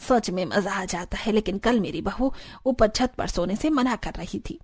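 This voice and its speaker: A woman's voice: medium pitch, normal speed, a little mellow, a little hiss or hum, close to an everyday voice. A woman voicing an older, stubborn and traditional village mother-in-law in a cartoon, firm and insistent. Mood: self-satisfied.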